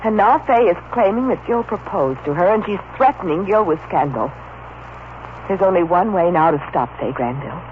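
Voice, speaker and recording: A woman's voice: fairly low pitch, animated, normal speed, narrow, tinny audio, natural voice. A woman playing an earnest, worried heroine in an old-time radio soap opera. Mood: anxious, determined. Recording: thin, crackly old radio recording.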